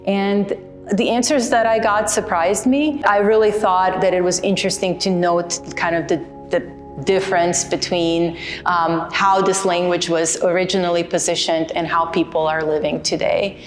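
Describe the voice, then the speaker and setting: A woman's voice: fairly low pitch, fairly even intonation, normal speed, soft background music. A woman from Croatia, an artist speaking thoughtfully and earnestly about her printmaking in a documentary interview. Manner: thoughtful, earnest, explains with care.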